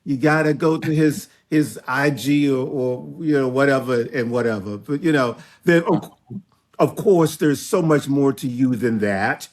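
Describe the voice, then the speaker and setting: A male podcast host with a flamboyant, teasing manner and drawn-out exclamations. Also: medium pitch. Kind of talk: flamboyant, flirtatious interview banter.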